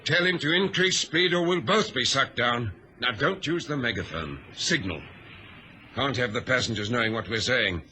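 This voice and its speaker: An actor playing a steady, commanding ship's captain in an old radio drama, speaking crisply and with authority. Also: male voice, mid-range voice, moderate pace. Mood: grim. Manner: crisp, authoritative, clipped orders. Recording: hissy vintage radio recording.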